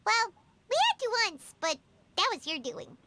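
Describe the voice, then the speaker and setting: A tiny fairy sidekick chatters in a very high, bouncy voice. She swoops up and down with lively, bubbly energy. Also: normal speed, heavy background noise. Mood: chirpy.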